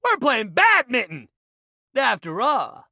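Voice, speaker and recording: A man's voice: extremely high pitch, wildly swooping pitch, takes it slowly, bright, forceful tone, dry recording, clean studio sound, heavily acted. A man voicing a video game character, a living shuttlecock who is an upbeat, laid-back young sports coach with slangy, encouraging, bro-ish talk. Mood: playful, hyped.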